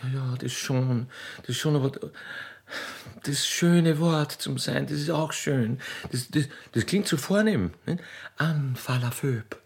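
Man acting a naive Austrian country girl, husky. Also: mid-range voice, takes it slowly, exaggerated voice. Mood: shyly dreamy. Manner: stammering, repeating words in wonder. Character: naive, excitable young country girl, a railway keeper's daughter. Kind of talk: radio play acting.

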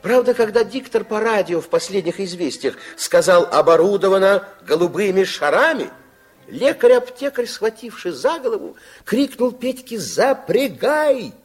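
A man's voice: sits high, normal speed, husky, faint music behind, full character voice. A man narrating a Soviet children's radio play in a theatrical, playful, confiding storytelling style. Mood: expressive storytelling. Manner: theatrical, expressive. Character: expressive fairy-tale narrator, playful and confiding.

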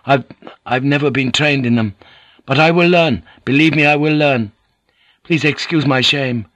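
A man's voice: mid-range voice, normal speed, a bit bright, dry recording, voice pushed up, close to an everyday voice. A man voicing a humbled, earnest ronin in an audiobook, pleading as he speaks. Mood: ashamed pleading.

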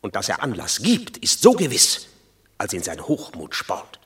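A plotting Roman tribune. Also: male voice, lowish voice, wildly swooping pitch, a little slow, fairly bright tone, heavily acted. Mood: scheming. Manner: confident, conspiratorial. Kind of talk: classic radio play acting.

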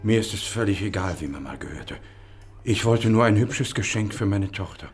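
A man's voice: fairly low pitch, expressive, moderate pace, natural voice. An actor playing an anxious father in a radio play, agitated and pleading for his daughter. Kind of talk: radio drama acting. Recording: studio radio play with faint music.

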